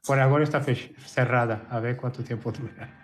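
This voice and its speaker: A man's voice: medium pitch, steady tone, fairly quick, over music. A man from Brazil speaking Spanish with a Brazilian accent, chatting casually as a guest dog groomer. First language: Portuguese. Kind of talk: casual chat.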